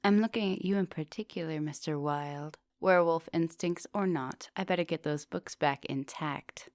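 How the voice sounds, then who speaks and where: Low, coaxing vampire professor played by a woman. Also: moderate pace, darkish tone, no room sound, studio quality, natural voice. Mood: brisk, stern. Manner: coaxing, polite, formal phrasing. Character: soft-dominant, coaxing female vampire professor, ancient but youthful. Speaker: teacher. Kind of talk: intimate ASMR roleplay.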